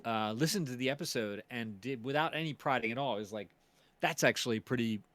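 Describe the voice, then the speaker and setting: A man hosting a casual livestream chat, telling stories in a rambling, halting way. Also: mid-range voice, fairly bright tone. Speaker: stream host and series producer.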